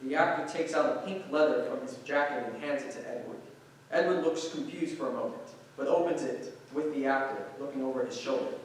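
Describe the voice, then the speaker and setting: A man's voice: medium pitch, fairly quick. A man reading scripted stage directions as a narrator. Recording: echoey noisy hall, distant microphone.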